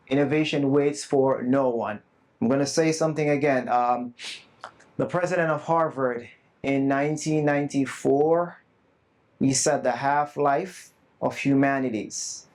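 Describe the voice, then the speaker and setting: A man giving a live conference talk to a room of educators, earnest and emphatic, with self-deprecating asides. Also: on the high side. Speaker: conference presenter. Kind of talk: live summit talk to an audience. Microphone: not loud at first, asked to speak louder.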